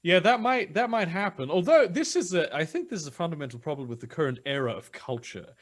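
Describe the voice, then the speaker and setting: A man's voice: a little high, lively intonation, fast. A German man with an English-sounding accent, a guest chatting casually and wryly on a live stream. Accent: English-sounding, German-born. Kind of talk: casual online conversation.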